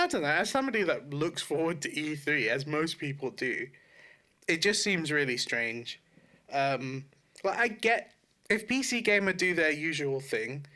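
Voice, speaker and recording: A man's voice: on the high side, sharp tone. A man co-hosting a podcast, chatting casually in an informal, sweary way about video games. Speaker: podcast co-host.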